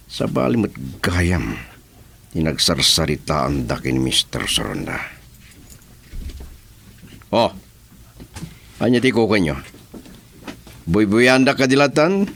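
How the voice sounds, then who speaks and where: An actor playing a sly, scoffing boss who talks business with a crony, in an Ilocano radio drama. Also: male voice, very deep voice, vocal fry, a bit bright, slight background noise, heavily acted.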